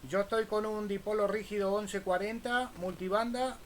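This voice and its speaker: High-pitched Argentine man on ham radio. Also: flat delivery, normal speed. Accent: Buenos Aires Argentine Spanish. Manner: spells call signs in phonetic alphabet. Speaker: amateur radio operator, channel host. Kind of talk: casual ham radio contact. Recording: faint noise behind voice.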